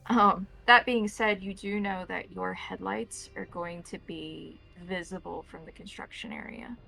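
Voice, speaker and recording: A woman's voice: lowish voice, steady tone, unhurried, creaky, pushed and bright, a little hiss or hum, poor audio. A woman narrating a tabletop roleplaying game as the game master, describing scenes to players.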